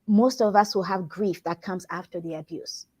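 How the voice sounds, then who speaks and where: Mellow-voiced woman from Congo. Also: fairly low pitch, steady tone, normal speed, husky. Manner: earnest, reflective, repeats and restarts phrases. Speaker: guest, workplace wellbeing consultant. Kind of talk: conversational interview answer.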